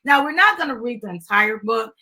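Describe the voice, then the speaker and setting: A woman's voice: fairly high pitch, expressive, brisk, heavy background noise. An American woman chatting informally to viewers as she hosts a casual live video and promotes a children's book. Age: adult, mother of a young child. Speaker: live stream host, business founder.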